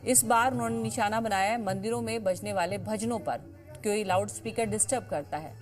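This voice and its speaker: A woman's voice: mid-range voice, a little fast, faint music behind. A woman anchoring television news, restating her points with emphasis as she reports.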